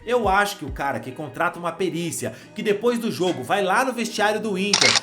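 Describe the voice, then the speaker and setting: A man's voice: high voice, expressive, a little fast, over loud music. Brazilian man giving casual football commentary. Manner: conversational, addresses viewer with slang. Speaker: football channel host.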